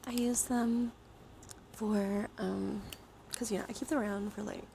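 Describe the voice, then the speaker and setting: Soft whispery woman doing ASMR, noisy background. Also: mid-range voice, a little slow. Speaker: live streamer. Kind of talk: ASMR, soft chatty livestream talk. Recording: clear steady background noise.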